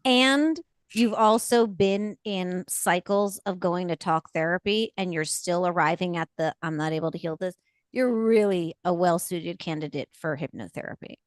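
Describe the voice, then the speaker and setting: A woman's voice: on the low side, moderate pace, clear, resonant tone, dry recording. A woman co-hosting a podcast who explains her points in a confident, affirming way. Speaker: podcast co-host, hypnotherapist. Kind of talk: casual podcast conversation.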